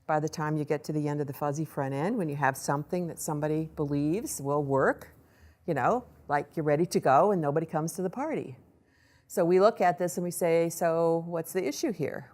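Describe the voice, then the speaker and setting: A woman presenting a startup case study to an audience in a lively storytelling way, acting out what people said. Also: deep voice. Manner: lively storytelling, acts out quoted voices. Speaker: accelerator program director giving a presentation.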